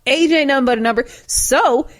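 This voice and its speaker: A woman co-hosting a casual podcast who chimes in with sassy, quick interjections and laughs along. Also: high voice. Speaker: podcast co-host.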